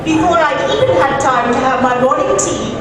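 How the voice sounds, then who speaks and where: An actress voicing a distraught, fussy society lady who frets breathlessly over every scandal, in a high voice. The voice rings through an echoey hall from a distance, with an audience present. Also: lively intonation, moderate pace, a loud crowd. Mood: fretful. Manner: breathless, fretful.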